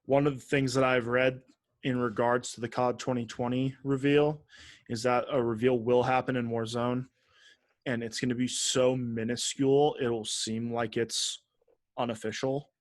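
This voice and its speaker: A man's voice: medium pitch, flat delivery, normal speed, no echo, studio quality. A man co-hosting a podcast in casual, teasing chat, swearing freely. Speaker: podcast co-host.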